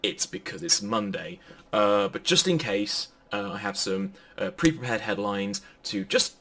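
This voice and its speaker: A man's voice: on the low side, expressive, measured pace, sharp tone. A man giving an informal, pre-recorded sermon in a chatty, jokey manner. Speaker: preacher.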